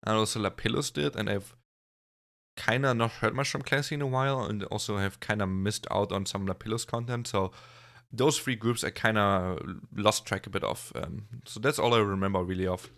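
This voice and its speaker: A man's voice: on the low side, steady tone, normal speed, gravelly, fairly bright tone. A man giving a casual reaction commentary on a music video, rambling and hedging as he points things out. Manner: rambling, observational, hedging with "kinda" and "like". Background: faint music from the video.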